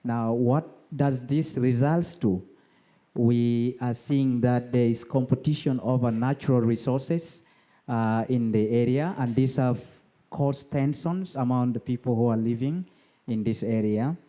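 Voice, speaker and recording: A male conference speaker with an East African accent explains his work in a prepared presentation. His tone is extremely mellow and dark, with little edge to it. He speaks in a halting way, pausing often as he lays out each point. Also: medium pitch. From South Sudan. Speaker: conference speaker, NGO co-founder.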